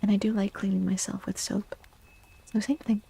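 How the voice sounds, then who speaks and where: A woman speaking softly and breathily in a gentle, unhurried half-whisper, chatting as an ASMR livestream host. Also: medium pitch, level tone, vocal fry, breathy edge. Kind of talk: soft-spoken ASMR livestream chat. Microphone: close microphone, dry.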